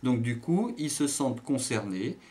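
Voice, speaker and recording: A man's voice: medium pitch, lively intonation, unhurried, dark tone. A man giving his opinion in an interview in an assured, explanatory manner.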